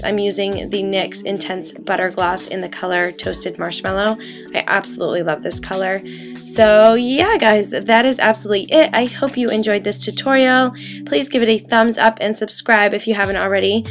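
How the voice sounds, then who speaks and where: A young woman in her twenties, raspy from a cold, chatting through a makeup tutorial in a bedroom, full of ums and likes. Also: medium pitch, moderate pace, music in the background. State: getting over a cold. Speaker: beauty vlogger. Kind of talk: casual makeup tutorial talk. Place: bedroom, indoors.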